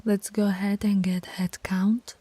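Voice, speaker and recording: Woman voicing a female werewolf alpha, commanding, scolding, mellow dark tone, soft whispers, audio roleplay. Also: medium pitch, flat delivery, a little slow, breathy edge, no echo. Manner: bossy and scolding, dropping to soft whispers at times.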